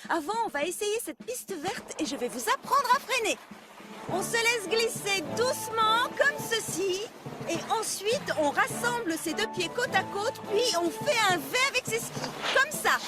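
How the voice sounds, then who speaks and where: Young cartoon woman instructor, very high voice, encouraging, instructive, loud music behind. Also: expressive, a little slow. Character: encouraging young female rescue and ski instructor. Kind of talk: French animated series dubbing.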